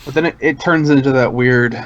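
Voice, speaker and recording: A man's voice: medium pitch, steady tone, a little fast. Man, congested, losing his voice, casual podcast chat, muffled sound, faint hiss. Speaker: podcast co-host.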